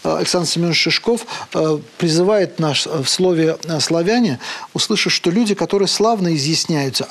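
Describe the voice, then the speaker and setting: A man with a fairly high voice lectures earnestly as the host of a program. He pauses often with hesitant fillers. He drops into a slow, solemn delivery whenever he reads a quoted passage aloud. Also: a bit bright. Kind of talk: lecture-style talk on words, reading quotations aloud.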